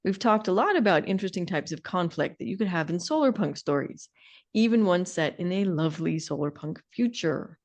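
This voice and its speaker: A woman's voice: on the low side, animated, fairly quick. A middle-aged woman co-hosting a podcast in a conversational way, with a wry, emphatic and opinionated manner. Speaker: podcast co-host.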